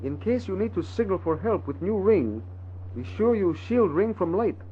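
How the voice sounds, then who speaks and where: An actor playing a loyal, careful valet in a radio drama, speaking clipped, deferential broken English. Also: male voice, high voice, animated, moderate pace, slight background noise. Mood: watchful. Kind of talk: old-time radio drama acting. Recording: dull lo-fi vintage radio recording.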